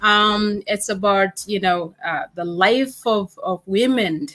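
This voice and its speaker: A woman from South Sudan, a guest author, answering interview questions in a hesitant, halting way with many fillers. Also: medium pitch, a bit bright. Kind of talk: casual interview.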